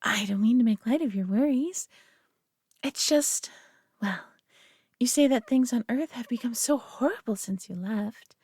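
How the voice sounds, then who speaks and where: A woman's voice: on the high side, unhurried, dry recording, clean studio sound. A woman voicing a prideful, doting alien who owns a human pet, teasing and condescending yet affectionate in an intimate audio roleplay. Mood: amused, sympathetic. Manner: teasing, condescending, affectionate, often hushed and breathy.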